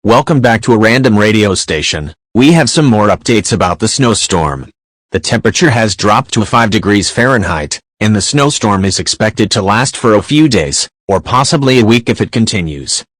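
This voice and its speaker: A low-pitched man playing an earnest radio announcer in a fictional broadcast. He reads scripted storm advice in a plain, orderly way, with a dry sound and no room around it. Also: animated, normal speed, dry recording, poor audio. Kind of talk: scripted radio news update.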